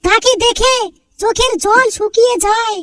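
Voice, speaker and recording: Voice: extremely high pitch, steady tone, moderate pace, fairly bright tone, a little hiss or hum. A performer voicing a small cartoon character who recites sentimental love lines in a mournful, earnest way. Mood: wistful.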